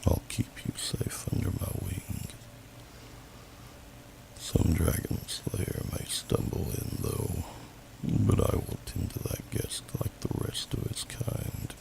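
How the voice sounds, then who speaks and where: A huge, ancient, kindly dragon murmurs in a low, heavily creaking half-whisper. The delivery crawls along with old-fashioned courtesy, as in a fantasy ASMR roleplay. Clear background noise sits behind the homemade recording. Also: male voice, slow pace, heavily acted. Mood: calm. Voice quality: deep, gravelly, breathy half-whisper. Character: huge gentle male dragon sheltering a human guest. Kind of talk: slow ASMR character roleplay monologue. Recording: noisy amateur home microphone.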